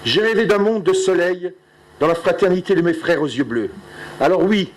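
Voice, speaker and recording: A man's voice: high-pitched, normal speed, noisy background. A middle-aged man from Alsace giving an earnest, proud closing speech at a conference. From Alsace, France. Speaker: conference speaker.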